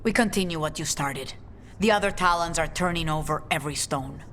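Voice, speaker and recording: A determined leader in a fantasy game. She has a low voice and a measured, earnest authority, with slight background ambience. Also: a bit bright, slight background noise. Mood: composed. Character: composed, determined female guild leader. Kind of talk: dramatic video game dialogue.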